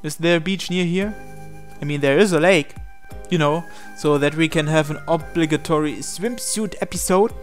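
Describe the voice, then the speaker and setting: Higher-voiced man narrating over music. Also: normal speed. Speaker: gamer reading a visual novel aloud. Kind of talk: narrated let's play, reading aloud with asides. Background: game music.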